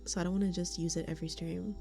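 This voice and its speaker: A woman's voice: lowish voice, little pitch movement, very fast, creaky, warm tone, faint music behind. A woman streamer chatting casually with her live chat, giggling often and sometimes dropping to a whisper. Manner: giggly, laughs often between remarks. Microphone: studio condenser mic.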